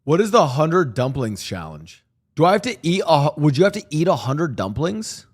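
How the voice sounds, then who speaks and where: A man's voice: on the high side, sing-song, normal speed, husky. A man live-streaming, chatting casually to his viewers and reacting to a clip, rambling and swearing freely. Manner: swearing, rambling, talks to chat.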